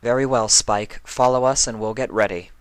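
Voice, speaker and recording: Man as a commanding pony princess, pitched up. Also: steady tone, moderate pace, clean tone, no echo, lightly acted. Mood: encouraging. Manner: formal, directive. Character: commanding yet kind alicorn princess.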